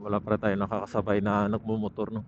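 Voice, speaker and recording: A man's voice: on the low side, monotone, quick pace. Muffled, playful Filipino man vlogging on a motorbike. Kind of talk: casual riding vlog chat to viewers. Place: outdoors on a moving motorcycle. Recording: muffled helmet mic with ride noise.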